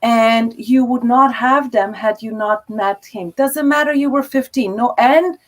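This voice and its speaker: A woman's voice: on the high side, unhurried. A middle-aged woman hosting an interview, talking in an encouraging, reassuring way. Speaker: interview host. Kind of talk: supportive interview chat.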